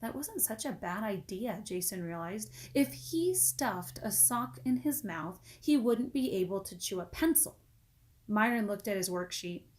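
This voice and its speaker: A woman's voice: mid-range voice, expressive, unhurried, a little hiss or hum. Woman reading a children's book. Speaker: storybook reader. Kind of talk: children's book read-aloud, expressive narration.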